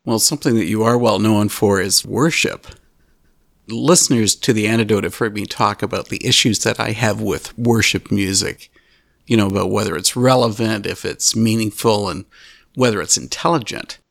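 A male radio host giving a scripted show introduction and asking interview questions in a measured, articulate way. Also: lowish voice, creaky, a bit bright, no room sound, studio quality.

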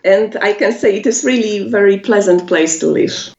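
A woman with a Polish accent, a native Polish speaker, answering interview questions in halting English with many pauses and laughs. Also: medium pitch, warm tone. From Poland. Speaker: musician guest. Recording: echoey, muffled lo-fi audio.

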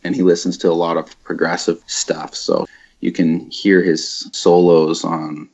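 Low gravelly guy, laid back band chat, kinda muffled sound. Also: little pitch movement, measured pace, a little mellow. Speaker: band guitarist and vocalist. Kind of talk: casual interview chat.

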